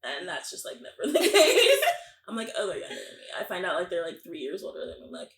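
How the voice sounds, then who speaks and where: A young woman in her twenties hosting a podcast, her voice gravelly with vocal fry. She chats in a loose, rambling way with many fillers and laughs. The room sounds roomy and a little echoey. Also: lowish voice, huge pitch swings, fast talker, a bit bright. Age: 20s. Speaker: podcast host. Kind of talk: casual interview chat.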